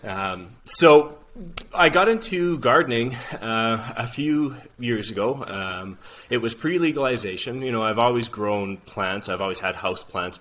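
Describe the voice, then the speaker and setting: A man from Canada, in his forties, talks as a podcast guest over a video call. His voice sits low but sounds bright and forceful, and he talks haltingly, with frequent pauses. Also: slight background noise. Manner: hesitant, many uh fillers and restarts. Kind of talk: casual interview talk.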